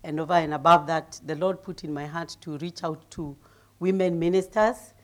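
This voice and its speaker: Middle-aged woman preacher from Kenya, deep voice, builds to loud emphatic reading. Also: steady tone, moderate pace. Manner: grows loud and emphatic when reading scripture; humble, laughs easily. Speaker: guest preacher. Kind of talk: sermon.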